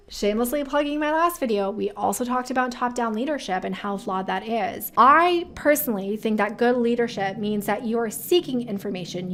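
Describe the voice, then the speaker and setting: A woman with a somewhat high-pitched voice hosts a career advice video. She speaks in a conversational, emphatic way. She often frames her commentary as rhetorical questions. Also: fairly bright tone, a little hiss or hum. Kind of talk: casual commentary reacting to viewer-submitted quotes.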